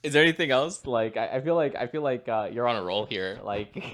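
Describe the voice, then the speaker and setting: A man's voice: mid-range voice, sing-song, brisk. A man co-hosting a podcast in casual chat, rambling and filling his talk with "like" and "you know". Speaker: podcast co-host.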